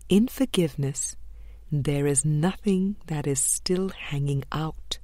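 A woman reading a spiritual book aloud in a measured, deliberate way, pausing between short phrases. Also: low voice, warm tone, dry recording. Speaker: audiobook reader.

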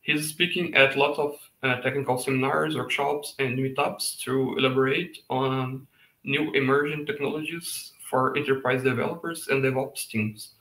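Casting: man, thirties, meetup host, bright and forceful tone, echoey room, headset mic. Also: mid-range voice, steady tone. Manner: reads haltingly with fillers. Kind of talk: reading a speaker introduction. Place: home room. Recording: echoey room on a video call.

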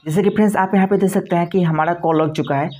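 A man narrates a tech tutorial. His voice sits a little high and he moves along quickly. He gives instructions in repetitive, step-by-step phrasing. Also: fairly even intonation, darkish tone. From India.

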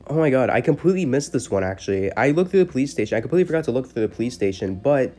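A man's voice: mid-range voice, big pitch swings, very fast, mellow. A man giving casual, slangy commentary over gameplay as a gaming video host. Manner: informal, slangy. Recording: music behind the voice.

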